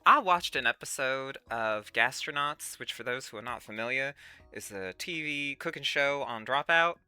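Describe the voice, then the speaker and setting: A livestream host with a bright, forceful voice talks to viewers in a put-on Southern US accent. The delivery is a folksy Southern Belle drawl with very lively swings of pitch. Music plays faintly behind the casual chat. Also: normal speed, clean tone, dry recording. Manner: folksy Southern Belle drawl with terms like sugar, honey and y'all.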